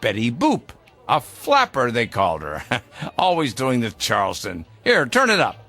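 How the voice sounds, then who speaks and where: An actor playing a jovial, pompous boss cutting loose over drinks, with an expansive, playfully grandiose theatrical delivery. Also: male voice, mid-range voice, wildly swooping pitch, a little slow, gravelly, bright, forceful tone, faint music behind, heavily acted. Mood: gleeful. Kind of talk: theatrical radio drama acting.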